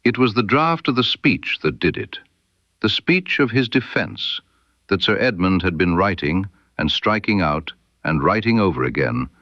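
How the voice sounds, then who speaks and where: A man reading a scripted detective audiobook as Dr. Watson, in a measured, dramatic storyteller's manner. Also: on the low side, no echo, muffled recording. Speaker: audiobook narrator.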